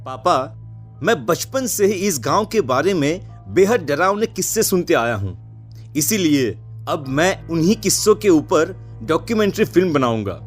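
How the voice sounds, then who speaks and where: A man playing a headstrong seventeen-year-old son in an animated horror story, exasperated and insistent. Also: fairly high pitch, normal speed, soft background music. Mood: earnest.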